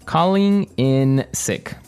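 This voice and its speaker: Smooth-voiced man teaching English phrases. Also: mid-range voice, a little mellow, no room sound, soft background music. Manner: friendly, repeats phrases slowly and clearly for learners. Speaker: podcast co-host. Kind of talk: English lesson podcast chat.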